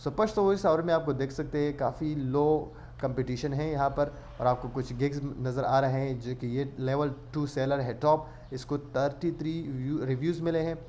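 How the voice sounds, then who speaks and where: A man's voice: mid-range voice, fairly even intonation, moderate pace, few pauses, dark tone, a little hiss or hum. A man hosting an online tutorial, explaining step by step in a casual way and mixing English words into his speech. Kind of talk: casual screen-share tutorial narration.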